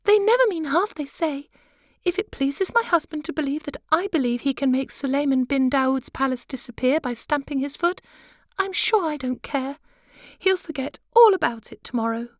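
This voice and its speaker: Woman as a very high, fluttery butterfly wife. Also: moderate pace, no echo, clean studio sound, lightly acted. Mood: fluttery, exasperated. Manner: fluttery, emphatic. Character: small, excitable, nagging female butterfly wife.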